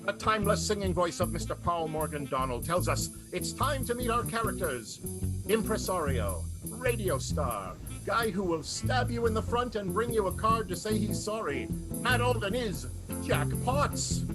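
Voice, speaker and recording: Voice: mid-range voice, sing-song, moderate pace, poor audio. An announcer narrating an improvised radio serial in a grandiose, dramatic, theatrical way. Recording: music behind the voice.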